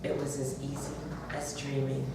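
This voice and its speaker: Low-voiced woman speaking in an echoey room. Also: monotone, normal speed. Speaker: author reading at a bookstore event. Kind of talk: live author talk and story reading. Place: bookstore, audience event. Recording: roomy, distant, noisy live event recording.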